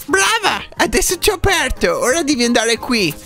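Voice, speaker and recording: Doting cartoon mother, played by a young man: high, sing-song, coaxing and encouraging, music behind. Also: sing-song, moderate pace, gravelly, sharp tone, exaggerated voice.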